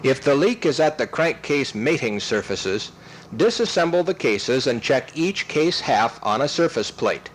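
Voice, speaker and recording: A man reads scripted instructional narration in a methodical, step-by-step way, enunciating clearly. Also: medium pitch, big pitch swings, a little slow, slight background noise. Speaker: training film narrator.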